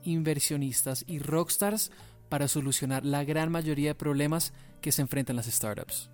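A man's voice: a little high, normal speed, a little mellow, soft background music. A man hosting a startup podcast, upbeat, reading a scripted opening and interviewing a guest. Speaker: podcast host.

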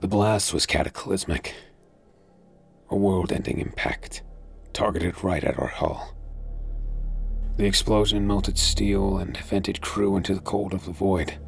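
Pirate war-profiteer, low voice with some gravel, dramatic first-person narration over clear music. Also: male voice, steady tone, measured pace. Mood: grave. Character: urgent male pirate war profiteer narrating his own tale. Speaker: narrator and lead character. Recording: music behind.

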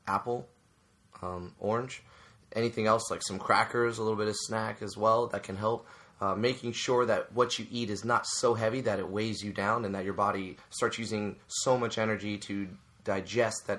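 A man co-hosting a casual youth soccer chat, his voice sitting low but carrying a bright edge. He talks earnestly, with plenty of restarts, and turns emphatic when he makes a point. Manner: frequent fillers and restarts. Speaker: youth soccer coach and podcast co-host.

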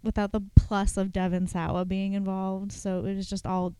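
Smooth-voiced young woman, dry and rambling. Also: medium pitch, little pitch movement, normal speed, no echo. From Ohio. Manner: rambling, wry, breaks into sudden loud outbursts. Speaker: podcast co-host. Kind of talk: casual chat.

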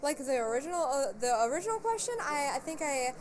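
A woman's voice: sits high, big pitch swings, fast. A woman chatting casually as she answers interview questions about her work. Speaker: public relations interviewee. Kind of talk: casual chat. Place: convention. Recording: noisy convention recording.

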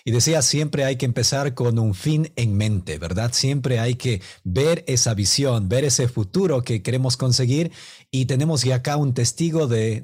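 A man with a husky voice, presenting as a podcast co-host in a measured conversational style. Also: mid-range voice, darkish tone. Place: remote call.

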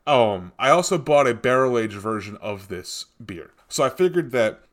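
A man's voice: mid-range voice, lively intonation, moderate pace, fairly bright tone. Rambling male beer podcast host. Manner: rambling, tangential, with many fillers and restarts. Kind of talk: casual chat.

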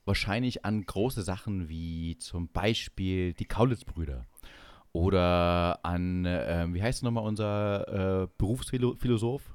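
A man's voice: deep voice, slow pace, darkish tone, no echo. A man from East Germany chatting casually and jokingly as a podcast host, often searching for words. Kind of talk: casual comic chat.

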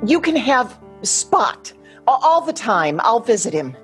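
A woman acting in a radio play as a sentimental grown daughter who clings to keepsakes and pleads haltingly. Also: a little high, sing-song, a bit bright. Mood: pleading. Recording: music behind, recorded remotely in one take.

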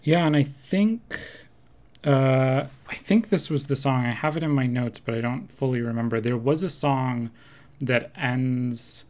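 A man in casual podcast chat, breaking down lyrics in a thoughtful, analytical way. He quotes lines with obvious relish. His pitch sits at an ordinary middle level for a man. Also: unhurried, a little mellow. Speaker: podcast co-host.

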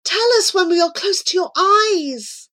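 A woman's voice: high-pitched, huge pitch swings, unhurried, fairly bright tone, voice pushed up, exaggerated voice. A woman voicing an earnest, anxious blind boy in a storybook tale, urgent and pleading. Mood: begging.

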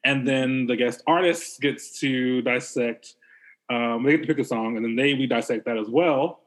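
A man's voice: medium pitch, moderate pace. A man hosting a casual podcast chat, talking in a rambling, upbeat way with lots of fillers. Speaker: podcast host.

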